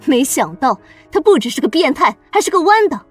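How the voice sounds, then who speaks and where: A woman playing a bitter, callous mother in an animated drama, with a scornful, snapping manner. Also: high-pitched, a little fast, faint music behind.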